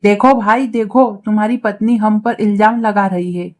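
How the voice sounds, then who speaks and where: A woman's voice: mid-range voice, normal speed, lightly acted. A woman voicing a spiteful, scheming young crow sister-in-law in a cartoon story, sly and mocking with many exclamations. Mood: indignant. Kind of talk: Hindi cartoon story acting.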